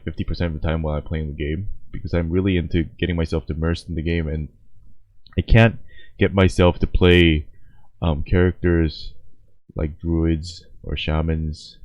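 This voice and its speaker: A man giving casual, off-the-cuff reaction commentary, halting and rambling as he thinks aloud. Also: sits low. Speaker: video creator reacting to a game cinematic. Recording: dull, roomy, echoey home recording.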